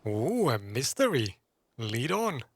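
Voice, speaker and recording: A man's voice: medium pitch, very animated, takes it slowly. A man making teasing, playful asides as he commentates on a video game. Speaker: gamer, playthrough commentator.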